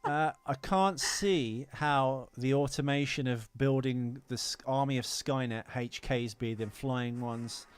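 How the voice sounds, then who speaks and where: A man's voice: medium pitch, takes it slowly, hardly any pauses, clear, resonant tone. A British man co-hosting a podcast in casual film-review chat, rambling, opinionated and prone to swearing. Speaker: podcast co-host.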